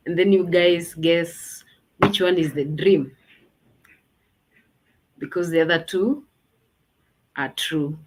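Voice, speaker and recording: Slow-talking low-voiced woman hosting online. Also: poor audio. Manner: chatty, thinking aloud with restarts. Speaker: host. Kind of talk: casual online event hosting.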